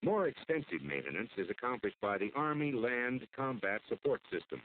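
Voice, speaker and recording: An American man delivers formal, measured scripted narration for an instructional military training film. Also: medium pitch, big pitch swings, slight background noise. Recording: muffled phone-like old film soundtrack.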